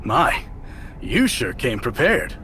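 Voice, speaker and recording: An actor playing a thoughtful fortune-teller in a historical Chinese game drama, with a measured, deliberate delivery. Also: male voice, mid-range voice, huge pitch swings, bright, forceful tone, slight background noise, exaggerated voice. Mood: wry. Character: thoughtful fortune-teller man in a heist crew.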